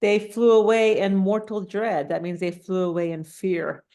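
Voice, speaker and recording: A woman's voice: mid-range voice, flat delivery, moderate pace, warm tone. A woman reading a children's storybook aloud, adding casual, simple explanations and questions for young listeners. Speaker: storybook reader.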